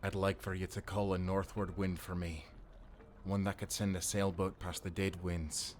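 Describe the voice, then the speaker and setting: A man playing a kindly old seafaring grandfather in an audio drama, speaking calmly and reassuringly. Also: deep voice, moderate pace, slight background noise, close to an everyday voice. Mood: gentle, reassuring. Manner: calm, reassuring, folksy. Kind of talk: cinematic audio roleplay acting.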